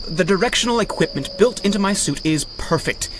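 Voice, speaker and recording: An actor playing an earnest, indignant, headstrong inventor-hero in a 1930s-style radio drama. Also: male voice, sits high, fairly quick, noisy background. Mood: insistent. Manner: earnest, insistent, excitable.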